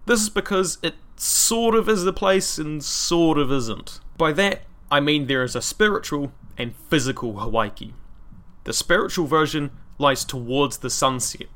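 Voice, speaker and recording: Podcast host, male, New Zealand accent, bright and forceful tone, scripted history read with wry humour. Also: medium pitch, moderate pace. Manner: dry asides and wry humour.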